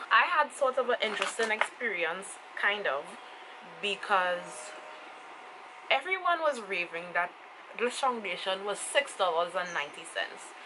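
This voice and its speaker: A young woman in her twenties with a Trinidadian accent, giving a casual makeup review to camera. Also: mid-range voice. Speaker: beauty vlogger. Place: small room indoors. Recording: clearly noisy home camera recording. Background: clear ambient noise.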